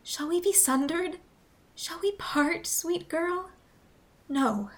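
A woman playing a spirited, loyal young noblewoman in a Shakespearean radio play, earnest and expressive. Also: very high voice, slow. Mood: tender, resolute.

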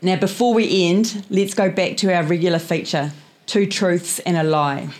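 A woman's voice: lowish voice, normal speed, a little mellow. Encouraging New woman host. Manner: encouraging, short affirmations. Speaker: podcast host. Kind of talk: interview hosting.

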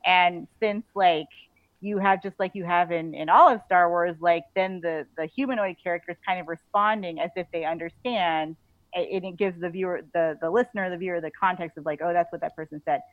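A woman author talking casually as a podcast guest, halting and chatty, often saying like. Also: fairly low pitch, steady tone, no room sound. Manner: halting and chatty, frequently says like. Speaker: guest author and audio drama writer. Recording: dull lo-fi audio.

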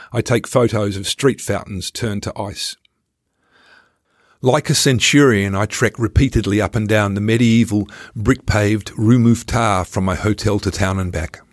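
A man's voice: on the low side, no echo. Dry-sounding man narrating a wry memoir. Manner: wry, self-deprecating. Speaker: podcast host and memoir narrator. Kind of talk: scripted first-person travel memoir narration. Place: podcast recording studio. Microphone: close studio microphone.

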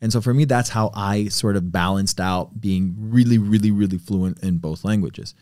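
A man's voice: on the low side, normal speed, a little mellow, dry recording, clean studio sound. A young man hosting a casual chat, upbeat and affirming, often checking in with "right?". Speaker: podcast host.

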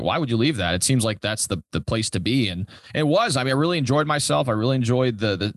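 Fast-talking younger man, lowish voice. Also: fairly bright tone, no echo, studio quality. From Europe, born in Italy and raised there and in Germany until fourteen. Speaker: podcast guest, commercial real estate broker. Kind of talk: casual interview chat.

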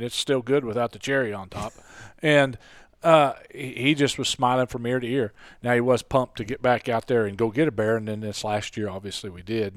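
A man's voice: mid-range voice, no room sound, studio quality. A middle-aged American man, a podcast guest telling stories in casual conversation. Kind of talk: casual hunting storytelling.

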